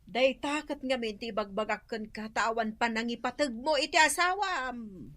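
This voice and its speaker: A woman's voice: a little high, normal speed, fairly bright tone, lightly acted. A woman with an Ilocano accent playing a no-nonsense older mother, lecturing reproachfully in a radio drama.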